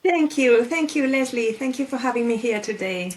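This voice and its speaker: Spanish-accented woman in her 40s, kinda high voice, lots of ums, thoughtful chat on a video call. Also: animated, normal speed. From Spain. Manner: thoughtful, with many fillers and restarts. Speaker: guest, life coach. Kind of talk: casual interview chat.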